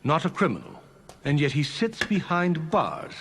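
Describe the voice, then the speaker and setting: A man voicing a troubled, commanding Pharaoh in an animated Bible story, impatient and imperious. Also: a little high, normal speed, a little hiss or hum. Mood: questioning.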